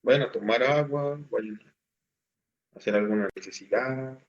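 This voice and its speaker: A man's voice: mid-range voice, measured pace, dull audio. A man, patiently explaining assignments to his class over a video call. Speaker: teacher. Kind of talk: online class instruction.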